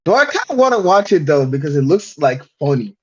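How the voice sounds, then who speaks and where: High-pitched man ranting casually, lo-fi mic. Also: sing-song, fast talker, breathy edge. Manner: boisterous, heavily profane, slangy, emphatic repetition. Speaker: podcast guest. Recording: dull lo-fi microphone.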